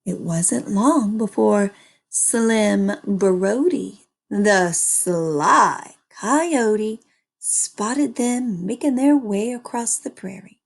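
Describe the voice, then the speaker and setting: A woman reading a children's story aloud with animated, dramatic and deliberate phrasing. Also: mid-range voice, gravelly. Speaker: storybook reader. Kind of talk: animated scripted children's narration.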